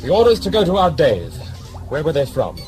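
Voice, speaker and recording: An actor playing a pompous, blustering old governor in an audio drama, dismissive and full of bluster. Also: male voice, fairly high pitch, huge pitch swings, fairly quick, faint music behind. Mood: grumpy. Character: blustering, pompous old prison-ship governor nostalgic for empire.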